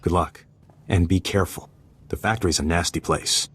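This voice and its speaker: A man's voice: low-pitched, fairly even intonation, normal speed, a bit bright, breathy edge, heavily acted. A man playing a supportive, conciliatory rebel in a video game. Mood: concerned.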